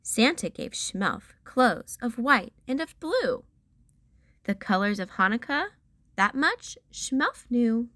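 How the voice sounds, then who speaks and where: A woman's voice: on the high side, very animated, slow pace, sharp tone, no echo, clean studio sound. A woman reading a rhyming children's picture book aloud with theatrical storyteller emphasis.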